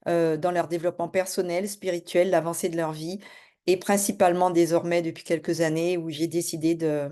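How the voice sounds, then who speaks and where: A middle-aged woman from French Guiana who works as a spiritual and business coach, introducing herself to camera in a fast, casual way with many hesitations. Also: fairly low pitch, level tone, mellow.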